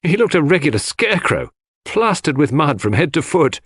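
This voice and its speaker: A man voicing an earnest, loyal gentleman sidekick with a hearty, old-fashioned chummy manner in a mystery audiobook. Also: fairly high pitch, very animated, moderate pace, slightly rough, no room sound, clean studio sound, pitched above the performer's own voice, full character voice. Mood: candid.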